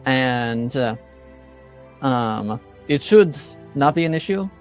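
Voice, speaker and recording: A man voicing a calm, assured male mage in a tabletop game, measured and reassuring as he explains things. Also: mid-range voice, soft background music.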